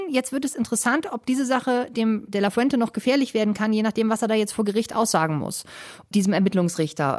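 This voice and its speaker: A woman working as a foreign correspondent, telling things in a chatty, colloquial interview style full of acted-out asides. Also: mid-range voice. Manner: chatty, colloquial, animated storytelling with quoted asides. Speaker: correspondent in Spain. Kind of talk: casual conversational interview report.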